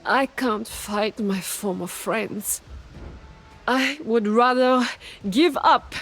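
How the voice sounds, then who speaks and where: Slow, grieving woman warrior. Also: fairly high pitch, fairly bright tone, faint music behind, exaggerated voice. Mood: despairing. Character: despairing female warden grieving her fallen friends. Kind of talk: dramatic video game dialogue.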